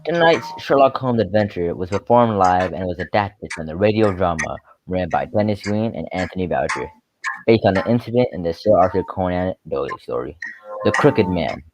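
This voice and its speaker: A man's voice: deep voice, expressive, normal speed, noisy background. A man reading scripted announcements as a radio show's announcer.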